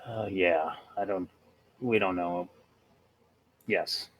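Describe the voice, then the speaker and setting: A man playing a tabletop role-playing game, talking casually and haltingly as he works things out. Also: low-pitched, a bit bright, rough recording. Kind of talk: casual in-character role-play chat.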